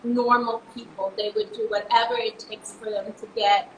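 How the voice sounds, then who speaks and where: Woman, podcast guest, fairly high voice, halting, emphatic, fillers, echoey room. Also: flat delivery, slight background noise. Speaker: podcast guest sharing her story. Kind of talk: emotional personal testimony. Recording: roomy echo.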